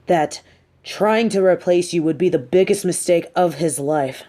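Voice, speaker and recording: Lady doing a supervillain woman for audio roleplay, slow n mellow, condescending, coolly menacing, kinda sympathetic but manipulative. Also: fairly low pitch, lightly acted. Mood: cold persuasion. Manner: slow, deliberate, condescending, theatrical. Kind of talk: scripted audio roleplay addressed to the listener.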